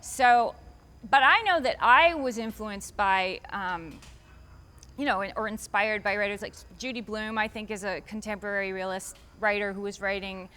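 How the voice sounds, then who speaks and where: A woman's voice: mid-range voice, normal speed, sharp tone, smooth. A woman author answering interview questions in a chatty, rambling way, restarting her sentences often and lifting her voice for emphasis.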